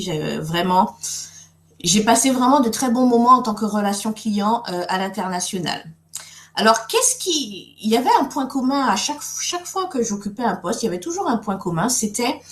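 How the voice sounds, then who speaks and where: A woman's voice: medium pitch, roomy sound. A middle-aged woman from Guadeloupe hosting a live webinar, speaking in a friendly way with many fillers and restarts. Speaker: webinar host and coach.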